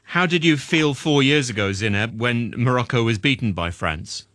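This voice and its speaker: A man's voice: medium pitch, lively intonation, moderate pace, a bit bright, dry recording. Male news presenter, close dry studio sound. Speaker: radio news presenter interviewing guests. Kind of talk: broadcast interview and news presenting.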